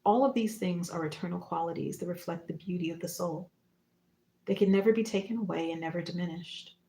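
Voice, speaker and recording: A woman hosting a spiritual livestream, talking casually and reflectively in short phrases and gentle prompts with pauses. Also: lowish voice. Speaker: psychic medium and spiritual teacher hosting a livestream. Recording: roomy, echoing room sound.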